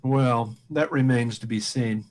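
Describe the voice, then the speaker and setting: A man playing a calm, methodical police inspector in an old-time radio mystery, deliberate and probing. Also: mid-range voice, close to an everyday voice.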